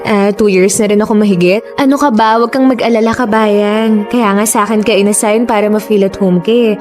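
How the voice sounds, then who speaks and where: An actress playing a friendly, teasing young office coworker in a radio drama, with breezy, slangy delivery. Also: female voice, mid-range voice, steady tone, fairly quick, darkish tone, lightly acted. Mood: friendly, welcoming. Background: faint music.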